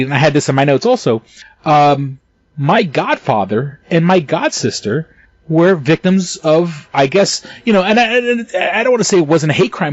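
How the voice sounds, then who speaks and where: A man on a casual podcast, pitched a little higher than most men, swearing freely as he gets worked up. He trips over his words and restarts, and the sound is dry and dull like a lo-fi home recording. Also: dry recording. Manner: heated and profane, stumbling restarts, bursts of laughter. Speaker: podcast co-host.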